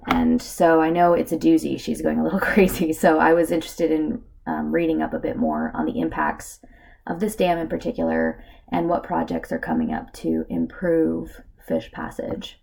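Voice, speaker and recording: A woman with a hoarse voice from a cold gives a casual narrated slideshow presentation, with lots of filler words. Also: deep voice, lively intonation, normal speed, a little mellow. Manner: casual, with many filler ums. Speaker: student presenter.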